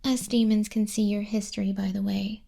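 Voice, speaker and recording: A smug demon clerk from hell's front desk, played by a woman, speaks in a hushed ASMR murmur that often drops to a whisper. The voice is husky and mellow with a creaky edge. She is condescending and teasing, with the dry patience of a bureaucrat. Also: mid-range voice, little pitch movement, normal speed. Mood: smug, scolding. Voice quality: soft, breathy, husky. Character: smug female demon clerk registering sinners in hell. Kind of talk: ASMR roleplay, soft-spoken and whispered.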